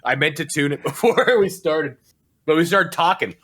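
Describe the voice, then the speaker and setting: A man's voice: a little high, lively intonation, normal speed, a bit bright, slight background noise. A man co-hosting a gaming podcast in casual chat, laid-back and joking, often laughing mid-sentence. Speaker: podcast co-host.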